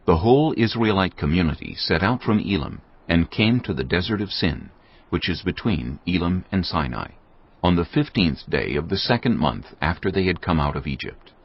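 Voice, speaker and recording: Casting note: male narrator, deep gravelly voice, measured scripture reading, dull track. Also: lively intonation. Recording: dull audio with faint music behind.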